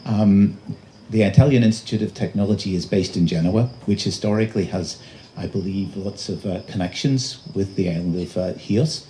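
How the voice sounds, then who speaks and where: A man giving a public lecture as an invited speaker, presenting slides in a halting, pausing delivery. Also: low-pitched, warm tone. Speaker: invited conference speaker, robotics researcher. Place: live talk at a festival venue. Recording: noisy live event recording.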